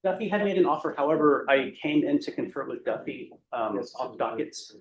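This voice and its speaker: A man's voice: mid-range voice, lively intonation, a little fast. Man lawyer updating the judge, echoey. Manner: rambling, detailed updates. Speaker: defense attorney. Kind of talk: courtroom address. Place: courtroom. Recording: echoey courtroom, faint noise.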